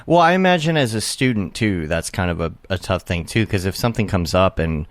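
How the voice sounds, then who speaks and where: A man's voice: low-pitched, expressive, a little fast. A man hosting a podcast in casual chat, rambling and restarting his thoughts as he goes. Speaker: podcast host.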